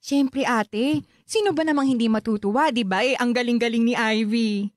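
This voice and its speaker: Woman acting higher-voiced, protective Filipino aunt. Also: moderate pace, dry recording, clean studio sound, natural voice. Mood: cheerful, proud. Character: supportive, protective aunt, quick to defend her niece. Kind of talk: Filipino radio drama acting.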